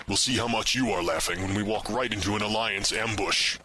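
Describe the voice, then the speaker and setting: Man playing a low, sour adventurer over noisy audio. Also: a little fast. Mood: grumbling. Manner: curt, deadpan. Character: sour, suspicious, grumbling male adventurer. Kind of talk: fantasy audio drama acting. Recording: clearly noisy hobby recording.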